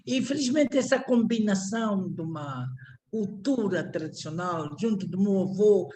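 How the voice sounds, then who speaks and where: An older Angolan man speaking Portuguese with an Angolan accent, giving a reflective, halting lecture full of restarts and repeated words. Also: high voice, lively intonation, dark tone, slight background noise.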